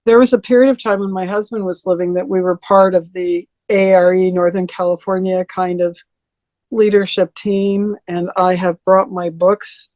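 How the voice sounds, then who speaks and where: An older woman with a deeper voice, reminiscing in a rambling way with many restarts during a video call interview. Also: flat delivery, normal speed, a little mellow, no room sound. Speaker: interview guest.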